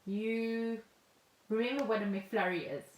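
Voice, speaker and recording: A woman's voice: fairly high pitch, measured pace. A woman chats casually as a podcast co-host, with a playful, informal way of telling things. Her voice comes with noticeable room echo and slight background noise. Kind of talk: casual conversational chat. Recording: echoey room, faint noise.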